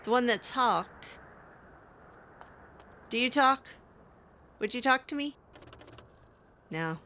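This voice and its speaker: A woman with a bright voice gives casual in-character game commentary. Her pitch moves around a lot as she drops sarcastic, skeptical asides while roleplaying. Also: animated, takes it slowly, a little hiss or hum. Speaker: video game player roleplaying as a mage character.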